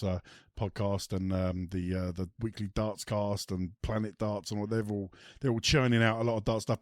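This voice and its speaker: A man's voice: fairly low pitch, darkish tone, dry recording, clean studio sound. Chatty man hosting a podcast, a bit snotty. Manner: chatty, rambling, many ums and restarts. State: has a cold. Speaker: podcast host. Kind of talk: casual chat.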